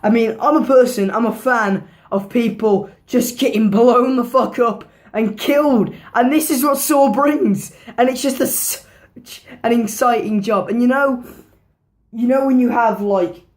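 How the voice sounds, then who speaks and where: A woman with a hoarse, worn-out voice, giving a casual movie review in a rambling way, swearing freely and repeating herself. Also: fairly high pitch, measured pace, darkish tone. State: hoarse, losing her voice.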